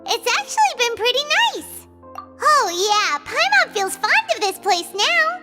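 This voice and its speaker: Casting: bubbly childlike game mascot, squeaky, very high and swooping, with prominent music underneath. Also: female voice, big pitch swings, unhurried, exaggerated voice. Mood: excited. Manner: speaks of self in third person, bubbly, squeaky. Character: small excitable childlike fairy companion, cheerful, food-loving. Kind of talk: video game character acting. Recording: music behind the voice.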